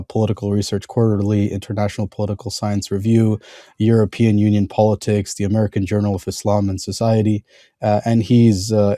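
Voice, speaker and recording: A male podcast host introducing his guest in a conversational way, halting often with fillers and restarts. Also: on the low side, mellow, no echo, studio quality.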